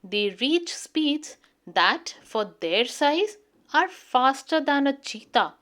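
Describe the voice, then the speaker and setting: A woman voicing a patient, knowledgeable mother in a children's storybook, explaining things in a measured way. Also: sits high, very animated, fairly bright tone, full character voice. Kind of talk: children's storybook character reading.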